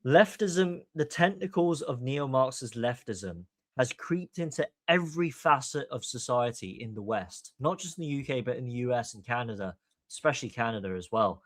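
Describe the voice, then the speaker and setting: A man's voice: mid-range voice, animated, normal speed, no room sound. A man from Hong Kong hosting a podcast, giving opinionated commentary in an emphatic, long-winded way. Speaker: podcast host.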